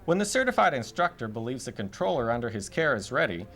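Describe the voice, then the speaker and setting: A man's voice: medium pitch, sing-song, fast, a bit bright. A man narrating a news documentary from a script as the reporter.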